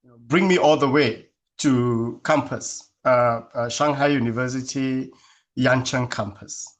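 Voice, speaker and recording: Kenyan-born man answering in a measured way. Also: mid-range voice. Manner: measured, thoughtful, with many fillers. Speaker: interview guest. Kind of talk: casual interview answers.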